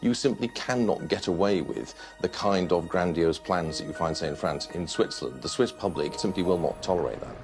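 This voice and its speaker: A man speaking deliberately and articulately in a documentary interview. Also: deep voice. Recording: documentary clip with loud music behind.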